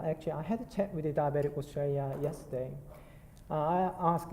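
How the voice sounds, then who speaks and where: A man with a Korean accent giving a conference talk, halting and self-deprecating with many fillers. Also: fairly high pitch, dark tone, slight background noise. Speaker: nurse presenter. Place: conference hall. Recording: reverberant hall, faint background ambience.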